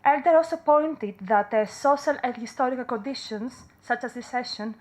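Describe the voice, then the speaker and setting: Greek-accented woman in her thirties, slightly high voice, halting academic presenter who corrects herself often. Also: poor audio. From Greece. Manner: hesitant, frequent fillers and self-corrections. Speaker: conference presenter. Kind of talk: academic conference talk. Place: conference room.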